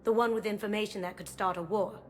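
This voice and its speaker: A woman's voice: medium pitch, steady tone, fast, slight background noise, natural voice. A woman voicing a playful, sly female master thief in a video game, with a light, teasing delivery.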